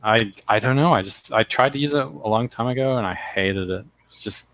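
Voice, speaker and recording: A man's voice: mid-range voice, a little fast, fairly bright tone, no echo. A man chatting casually and crudely about his comic pages, laid-back and joking. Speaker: comic artist co-host. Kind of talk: casual chat.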